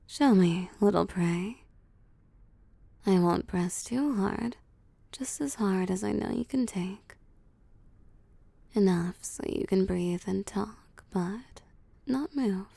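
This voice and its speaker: A possessive female vampire acted by a woman murmurs to her prey in an intimate ASMR roleplay. She is unhurried and mellow, with a creaky edge, cooing and teasing and often sinking into a whisper. The sound is close to the mic. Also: medium pitch, dry recording. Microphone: close, dry microphone.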